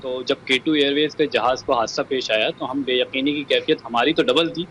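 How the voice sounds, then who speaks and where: A man giving a measured account and appeal as an interviewed relative, dropping in English words. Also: mid-range voice, fairly even intonation, a bit bright, rough recording. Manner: code-switches into English words. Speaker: bereaved relative being interviewed. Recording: faint background noise.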